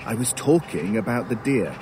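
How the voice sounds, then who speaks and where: An actor playing an urbane, sardonic crow in an audio drama, wordy and mockingly polite in a pompous way. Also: male voice, fairly low pitch, very animated, moderate pace. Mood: sardonic, needling. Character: urbane, sardonic male talking crow, gleefully macabre scavenger. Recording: clear outdoor noise with cawing crows. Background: outdoor ambience, crows, vehicles.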